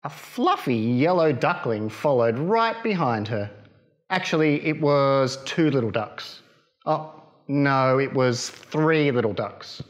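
A man in his thirties reading a picture book to children in a playful, expressive way. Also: mid-range voice, slow pace. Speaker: library storytime reader. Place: small children's library corner, indoors.

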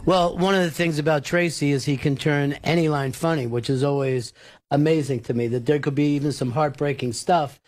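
A man's voice: fairly high pitch, steady tone, a little fast, darkish tone. A man hosting a casual interview, asking questions and adding comments in a conversational way. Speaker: radio show host.

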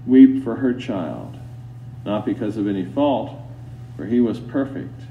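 Slow, solemn male priest preaching, distant and echoey. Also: mid-range voice. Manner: solemn, deliberate, admonishing, with long pauses. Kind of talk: sermon. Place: inside a church. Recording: roomy church, distant mic, dull, faint hum.

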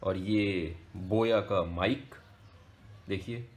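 A man's voice: sits low, very slow. A young man chatting casually to viewers as a vlogger. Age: young adult. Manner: chatty, addresses viewers as friends. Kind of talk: casual travel and shopping vlog. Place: street, shop and home.

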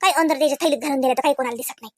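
A woman voicing an anxious, apologetic older in-law in a comedy cartoon, soft-spoken and halting, with a rural Maharashtra accent. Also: sits high, dry recording, lightly acted. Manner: hesitant, halting, soft-spoken, full of ums. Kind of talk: Marathi comedy cartoon acting.

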